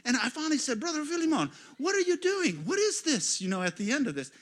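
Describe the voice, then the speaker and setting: A man from the United States preaches in a church. His voice sits high and sounds bright and forceful. He tells stories, going quiet for asides and getting loud when he is excited. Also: quick pace. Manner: storytelling, swings from hushed asides to loud exclamations. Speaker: guest pastor. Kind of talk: sermon.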